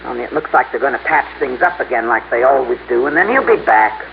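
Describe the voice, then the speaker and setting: Grumbling, gravelly neighbour with swooping pitch. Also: male voice, lively intonation, fast talker. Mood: gossipy, grumbling. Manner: gruff, colloquial, complaining. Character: grumbling, nosy, slangy male apartment-house neighbour. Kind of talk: 1950s radio drama character acting. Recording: muffled old radio recording, faint hiss.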